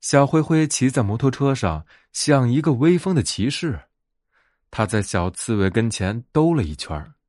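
A man narrating a bedtime fairy tale as a storyteller, in an expressive scripted read. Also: mid-range voice, normal speed, no echo, studio quality.

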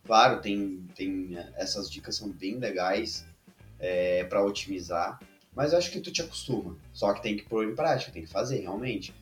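A man co-hosting a podcast, speaking in a relaxed, conversational way with hesitant fillers. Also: fairly low pitch, normal speed, faint music behind. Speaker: podcast co-host. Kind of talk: casual chat. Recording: roomy echoey room.

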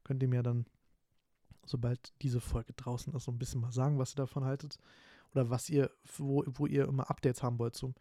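A man's voice: medium pitch, little pitch movement, a little fast, mellow, no room sound, studio quality. A man in his twenties from Berlin hosting a solo podcast, talking casually and rambling with lots of fillers and restarts. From Berlin, Germany. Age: 20s. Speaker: podcast host.

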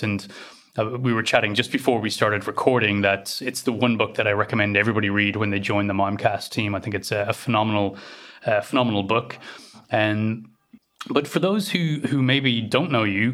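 A man's voice: fairly low pitch, a bit bright. A man hosting a podcast, asking friendly, hesitant questions full of fillers. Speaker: podcast host.

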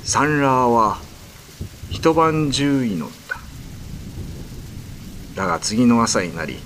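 A man narrating a scripted ghost story in low, grave tones. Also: very animated, takes it slowly, a bit bright, a little hiss or hum. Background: music.

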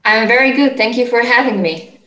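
A middle-aged woman with an Israeli accent, answering interview questions conversationally in a halting, searching way. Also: fairly high pitch, sharp tone, roomy sound. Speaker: interview guest, startup executive. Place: home office, remote call.

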